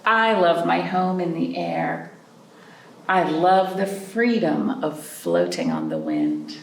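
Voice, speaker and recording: A woman with a lowish, gravelly-edged voice reads and chats to young children as a storytime host. Her delivery swings up and down in an animated way, with simple questions put to the listeners. It is recorded in a roomy space from a distant mic. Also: slow, slight background noise. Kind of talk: picture-book reading and chat for young children.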